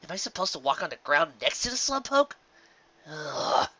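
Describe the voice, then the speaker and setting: A man's voice: fairly high pitch, big pitch swings, moderate pace, bright, forceful tone, lo-fi sound, voice pushed up, heavily acted. A man voicing a brash, boastful young female pegasus pony with hidden insecurity, in a cocky, emphatic storybook manner. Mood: excited, cocky. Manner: cocky, emphatic self-pep-talk.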